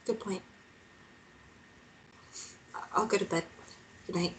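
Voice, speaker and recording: A woman playing a curious, uneasy young female journalist in a drama read, hesitant and halting, on a noisy recording. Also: mid-range voice, fairly even intonation, lightly acted.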